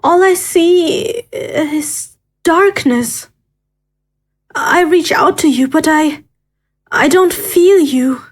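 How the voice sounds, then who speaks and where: A woman acts a yearning ghost lost in darkness for an audio horror drama. Her voice is high and plaintive, drawing the words out very slowly as she searches. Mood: searching, desperate.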